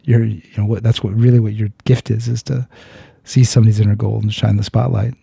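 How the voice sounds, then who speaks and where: Gravelly dark-voiced man telling a story. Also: on the low side, flat delivery, fairly quick, no room sound, studio quality. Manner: earnest, searching, with restarts and blunt swearing. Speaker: podcast host. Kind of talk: candid storytelling in conversation.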